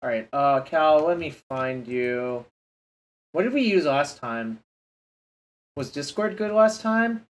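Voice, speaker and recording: A man's voice: fairly high pitch, lively intonation, takes it slowly, long pauses. A man streaming a card game, talking casually to his chat and grumbling under his breath over his plays. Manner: frustrated, muttering to himself and chat.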